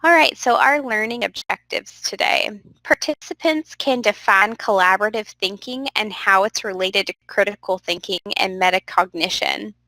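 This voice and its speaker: A woman's voice: mid-range voice, normal speed, hardly any pauses, pushed and bright, no echo, poor audio. A young adult woman teaching a virtual class, upbeat and encouraging, a little stuffy from a cold, talking into a computer microphone. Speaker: instructor. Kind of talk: online class lecture.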